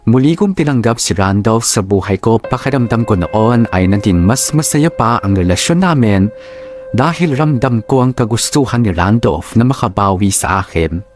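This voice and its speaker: A man with a fairly low voice narrates a scripted personal story in the first person. Soft music plays underneath his drama narration. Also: animated, moderate pace. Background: background music.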